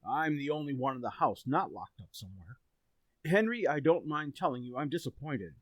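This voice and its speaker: A man playing a stern but forgiving suburban father in old-time radio comedy, with a husky voice. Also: mid-range voice, animated, normal speed, no echo, lightly acted. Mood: exasperated, disappointed.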